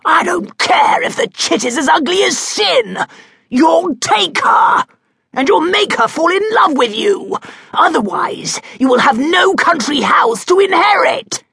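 A woman's voice: extremely high pitch, wildly swooping pitch, extremely slow, vocal fry, no room sound, voice pushed up, heavily acted. A woman voicing a blustering, hot-tempered older male duke who bellows his lines in an audiobook. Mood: furious. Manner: bellowing, shouting. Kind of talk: audiobook character voice.

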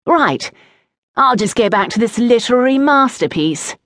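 A woman voicing a rude, mocking fifteen-year-old schoolboy in an audiobook story, loud and taunting. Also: fairly high pitch, animated, normal speed, dry recording, studio quality, pitched above the performer's own voice.

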